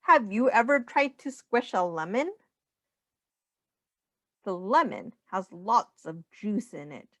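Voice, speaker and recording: A woman's voice: mid-range voice, sing-song, slow, long pauses, sharp tone, no room sound, clean studio sound. A woman reading a children's picture book aloud in a sing-song way, as a teacher speaking simply to young children.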